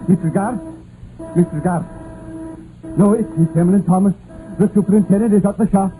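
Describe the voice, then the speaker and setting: An actor playing an anxious mine clerk, flustered and hurried, in an old radio drama. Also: male voice, sits high, dark tone. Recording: muffled old radio with music.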